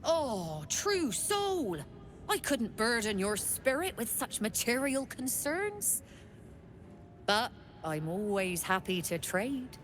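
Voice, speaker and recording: A woman voicing a smooth-talking female smuggler merchant with a silky, businesslike manner. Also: a little high, sing-song, slow, slight background noise. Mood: sly.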